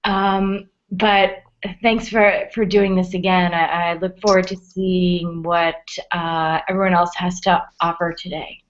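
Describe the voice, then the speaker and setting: A woman's voice: on the low side, level tone, measured pace. A woman joining an online panel as a guest, losing her voice to a sore throat and apologetic about it. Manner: apologetic, brief. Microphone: video call. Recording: slightly muffled video-call audio.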